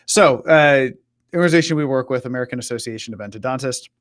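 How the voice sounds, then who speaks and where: A man's voice: mid-range voice, fast talker, dry recording, clean studio sound. A man presenting on a live web show, talking through an on-screen walkthrough in an informal, conversational way and acting out example questions. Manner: informal, voices imagined customer questions as examples.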